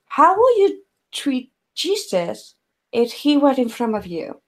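A woman's voice: high-pitched, sing-song, slow pace, slightly rough. A woman from Ecuador, a somatic healer hosting a podcast conversation, talking in a searching, rambling way with many fillers. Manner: searching, rambling, filler-heavy, often laughs.

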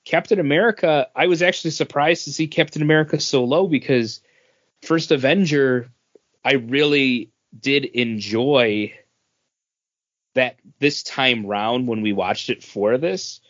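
A man's voice: medium pitch, unhurried, dry recording, dull audio. Casually rambling man, close dry sound. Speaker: podcast co-host. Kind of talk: casual opinion chat about movie rankings.

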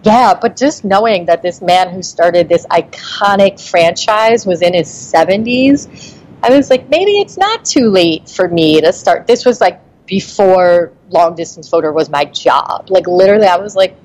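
A woman in her forties, a guest on a podcast, talking in a fairly low voice. She speaks frankly and thoughtfully, often hedging with "I mean" and "like" as she works through her ideas. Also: expressive, measured pace, a little mellow, rough recording. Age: 40s. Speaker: podcast guest, nonprofit founder. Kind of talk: casual interview chat.

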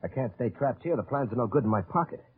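Determined American army captain. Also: male voice, medium pitch, animated, quick pace, husky. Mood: urgent, resolute. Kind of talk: 1940s-50s radio drama acting with first-person narration. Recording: muffled old radio broadcast recording.

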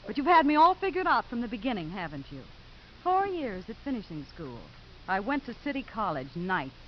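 A woman's voice: on the high side, very animated, fairly quick. Guarded, emotional American woman. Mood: indignant. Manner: earnest, indignant, emotional. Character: guarded, wounded, prim young woman probation officer. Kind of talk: 1950s American radio drama acting. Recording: dull, hissy old radio recording.

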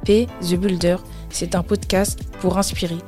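A woman reading a scripted podcast introduction in a smooth, even delivery. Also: lowish voice, a little slow. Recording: music bed under voice.

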